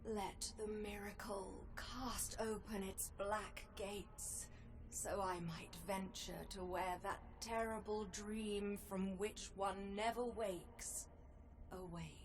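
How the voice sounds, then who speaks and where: An actress playing a proud, elegant swordswoman, eldest of an order of embroiderers, in a video game, with ornate, theatrical, deliberate phrasing. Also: mid-range voice, steady tone, background noise, natural voice. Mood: resigned, somber. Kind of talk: dramatic video game voice acting.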